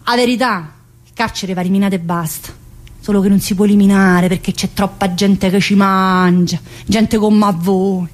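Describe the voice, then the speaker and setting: A woman's voice: medium pitch, fairly even intonation, normal speed, lightly acted. An actress playing a chatty, restless middle-aged woman in prison, teasing and earthy, in a theatre monologue. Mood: bitter. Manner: teasing, earthy, full of fillers and laughs. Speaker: stage actress in a one-woman play.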